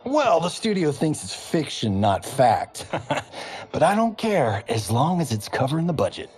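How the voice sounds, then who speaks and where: An actor playing a cocky, middle-aged movie star turned director who talks in a breezy, joking showbiz way. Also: male voice, fairly high pitch, sing-song, a little slow, darkish tone, breathy edge, heavily acted. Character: brash cocky male movie-star fighter.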